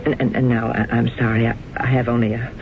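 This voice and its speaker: A woman's voice: extremely low pitch, level tone, fast talker, slight background noise. An actress playing a celebrated stage actress haunted by her past, in a 1970s radio drama. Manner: hesitant, stammering when shaken. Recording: tinny old radio broadcast with music.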